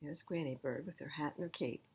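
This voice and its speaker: A woman's voice: low voice, brisk, a little mellow, slightly rough. A woman reading a picture book aloud at storytime, emphatic and speaking directly to young listeners. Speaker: library storytime reader. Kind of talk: children's read-aloud storytelling with asides.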